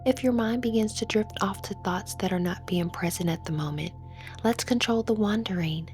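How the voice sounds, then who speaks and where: A woman leading a guided meditation in a soothing manner, with phrases that trail off into a breathy near-whisper. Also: medium pitch, moderate pace, a little mellow. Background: music.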